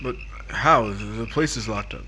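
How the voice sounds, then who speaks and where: An actor playing a weary, hesitant married man in a 1950s-style radio drama, speaking in halting short phrases. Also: fairly low pitch, noisy background, lightly acted. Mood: uneasy, compliant.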